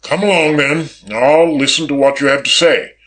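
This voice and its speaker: Audiobook master of servants, read by a man: brusque, crisp-edged, commanding gentleman with a forceful bite. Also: on the high side, fairly even intonation, normal speed, lightly acted, rough recording. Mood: curt. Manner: brusque, sharp, authoritative.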